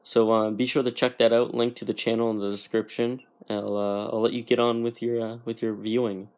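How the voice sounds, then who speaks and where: A man presents an informal comics explainer in a voice of average pitch. He speaks haltingly, feeling his way toward each phrase as he goes. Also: little pitch movement, a little mellow. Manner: halting, searching for words. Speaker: YouTube comics explainer host.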